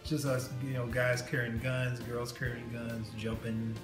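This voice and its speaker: Relaxed jokey man in echoey room. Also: medium pitch, unhurried, over music. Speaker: game developer. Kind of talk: casual interview chat.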